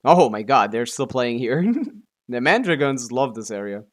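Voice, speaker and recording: A man's voice: medium pitch, lively intonation, normal speed. Man casually muttering through a game stream. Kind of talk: casual live gameplay commentary.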